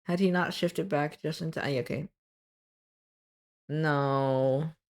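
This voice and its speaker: A woman streamer reading her own fiction aloud, stopping often to fix her wording. Her voice is going hoarse. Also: sits low, fairly even intonation, takes it slowly. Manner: stops often to correct her wording, laughs at slips. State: losing her voice.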